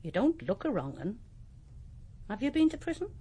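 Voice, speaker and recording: A woman's voice: a little high, sing-song, moderate pace, mellow, slightly rough. An actress playing a kindly, plain-spoken old country landlady in a radio drama, with an English accent and a homely, good-humoured, matter-of-fact manner. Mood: frank, kindly. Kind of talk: naturalistic radio drama acting.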